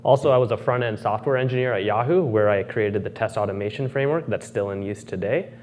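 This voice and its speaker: A man's voice: on the low side, quick pace, a little mellow, slight background noise. A young man giving an informal conference presentation as a technical speaker. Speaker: conference speaker, solutions architect. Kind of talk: informal self-introduction on stage.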